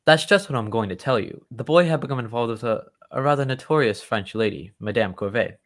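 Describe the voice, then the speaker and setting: Genteel, old-fashioned gentleman storyteller for a radio play, played by a man, mid-pitched, loyal and excitable. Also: brisk, natural voice. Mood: earnest storytelling. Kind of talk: old-time radio drama acting.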